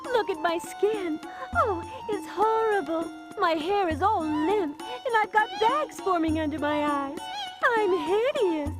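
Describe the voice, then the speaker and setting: Shrill, vain cartoon queen. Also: slow, music in the background. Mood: despairing. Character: vain, melodramatic ancient queen fretting over her looks. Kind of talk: cartoon game character acting.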